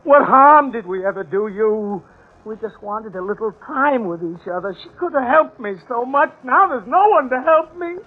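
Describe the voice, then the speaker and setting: An actor playing a tormented, deranged killer in a 1940s radio horror drama, intense and haunted. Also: male voice, very high voice, animated, moderate pace, narrow, tinny audio. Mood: anguished. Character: tormented, deranged male killer mourning his love. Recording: thin, band-limited old radio broadcast recording.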